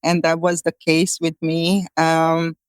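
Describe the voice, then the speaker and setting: A woman with a Hungarian accent talks through her path as a healer, pausing on fillers and restarting her sentences. Her voice is smooth and clear, with a level, even melody that rarely rises or falls. The recording is dry and close. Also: low voice, no echo. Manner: thoughtful, many fillers and restarts. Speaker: guest, shamanic healer. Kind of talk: conversational interview answers.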